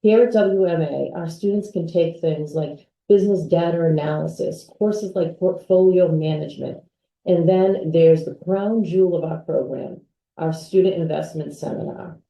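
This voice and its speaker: A woman's voice: lowish voice, normal speed, creaky, dark tone, dull audio. A woman who is a school's associate head and dean, giving a polished, upbeat presentation. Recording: echoey room from far off.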